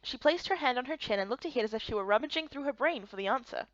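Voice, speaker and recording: A woman's voice: high-pitched, animated, fast, dry recording, muffled recording. A woman reading scripted first-person story narration for a visual novel game.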